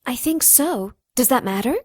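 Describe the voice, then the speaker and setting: A woman's voice: high voice, animated, normal speed, no room sound, clean studio sound. An actress playing a worried suburban mother in an audio drama, hesitant and questioning. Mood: uneasy, puzzled.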